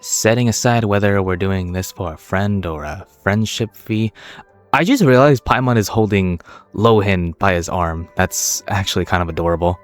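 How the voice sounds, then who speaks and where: A man's voice: low-pitched, moderate pace, soft background music, close to an everyday voice. A man voicing a dry, skeptical but friendly young adventurer in a video game. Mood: wry, curious.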